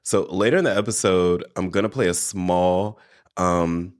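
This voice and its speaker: A man with a fairly low voice hosts a relaxed solo podcast. He sounds stuffed up and a little nasal from allergies, and he keeps talking straight to his audience in a chatty way. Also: unhurried. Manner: animated, chatty, addresses listeners directly. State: congested from allergies. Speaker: podcast host. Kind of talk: casual solo podcast chat.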